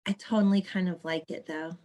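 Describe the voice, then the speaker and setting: A woman's voice: fairly low pitch, steady tone, a little fast, dark tone, close to an everyday voice. A woman playing a perky, earnest young homebody in a comedic play reading, with emphatic girlish slang. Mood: earnest, content.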